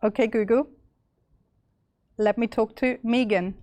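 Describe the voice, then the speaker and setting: Young Austrian woman demoing software live, loose and chatty, dry mic. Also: a little high, steady tone, a little slow, no room sound. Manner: chatty, many fillers and restarts. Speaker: presenter. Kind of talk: live tech demo walkthrough.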